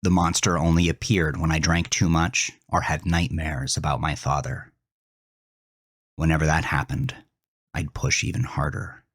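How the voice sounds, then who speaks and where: A man playing a ruthless, boastful president in a first-person horror monologue, speaking deliberately and dramatically, at times nearly whispering. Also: rumbling low, level tone, fairly bright tone, breathy edge, no room sound, clean studio sound, full character voice. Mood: brooding. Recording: music behind the voice.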